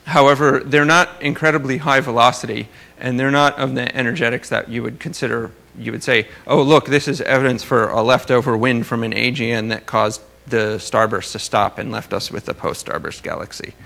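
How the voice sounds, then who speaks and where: A man giving an academic conference talk as a researcher, halting and rephrasing as he explains his slides. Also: mid-range voice, steady tone, vocal fry, pushed and bright. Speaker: astrophysics researcher presenting at a conference.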